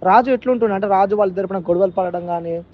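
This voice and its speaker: Male interviewer, informal and probing, rapid speech, repeats and rewords; slightly muffled recording. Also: high voice. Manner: repeats answers back and rephrases questions. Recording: dull, lo-fi call recording.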